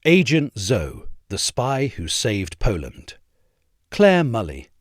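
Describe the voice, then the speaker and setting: Man, audiobook-style essay narration, expressive storytelling, dry close recording. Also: medium pitch, no room sound. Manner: measured, expressive storytelling read. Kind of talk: scripted narration.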